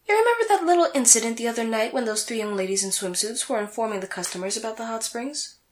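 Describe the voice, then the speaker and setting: A woman as a haughty, high-voiced anime sorceress, echoey. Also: animated, fast talker, natural voice. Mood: boastful, haughty. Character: vain, haughty young female sorceress rival from an anime. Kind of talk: comedic anime fan audio drama acting.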